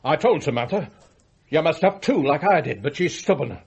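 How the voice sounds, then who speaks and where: Casting: bullying old English patriarch, husky and fairly high voice, blunt radio drama delivery. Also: male voice, animated, normal speed, full character voice. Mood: indignant, scornful. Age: older. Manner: blunt, swearing, peremptory. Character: irascible domineering old magnate, bitterly let down by family. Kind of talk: British radio play acting.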